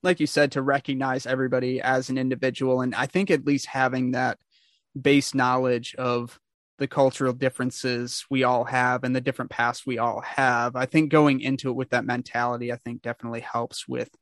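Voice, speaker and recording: A man's voice: mid-range voice, flat delivery, brisk, a bit bright, dry recording. Chatty young man hosting an interview. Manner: chatty, upbeat, rambling with restarts. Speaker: podcast host. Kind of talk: casual interview.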